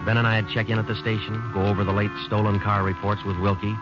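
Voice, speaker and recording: Male actor: hard-boiled detective narrator, deep and clipped, old radio sound. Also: fast talker, music in the background, natural voice. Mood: flat. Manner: flat, clipped, matter-of-fact delivery. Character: terse male police sergeant narrating his case. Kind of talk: 1940s radio drama acting. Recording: muffled, hissy old radio broadcast.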